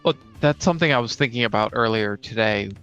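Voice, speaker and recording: Man, creaky voice, medium pitch, casual co-host, slightly dull recording, gaming chat. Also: quick pace, fairly bright tone, faint music behind. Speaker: podcast co-host and tabletop player. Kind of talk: casual chat about tabletop role-playing.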